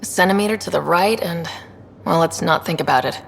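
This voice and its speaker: A woman's voice: on the low side, fairly quick, gravelly, long reverb tail, slight background noise. An actress playing a plainspoken, curious female space explorer in a video game, dry and casual in manner. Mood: dry concern. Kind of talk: video game dialogue acting.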